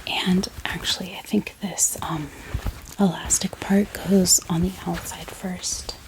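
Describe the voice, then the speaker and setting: A woman speaking in a hushed, breathy whisper, gently explaining things in an ASMR style. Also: lowish voice, level tone, rough recording. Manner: soft, hushed, unhurried explaining. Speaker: ASMR book haul host. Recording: clear background hiss, handling clicks.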